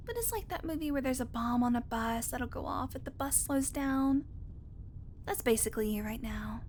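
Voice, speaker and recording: Woman acting a high-voiced sarcastic vampire woman. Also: darkish tone, slight background noise. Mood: cocky, teasing. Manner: teasing, wry, casual swearing. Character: sarcastic flirty female vampire, captive road-trip passenger. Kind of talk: audio roleplay voice acting.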